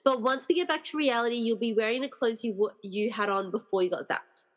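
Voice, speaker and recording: A woman's voice: fairly high pitch, brisk, natural voice. A woman playing an unfazed, weary rescuer of friends in a conversational comedy radio play. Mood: matter-of-fact.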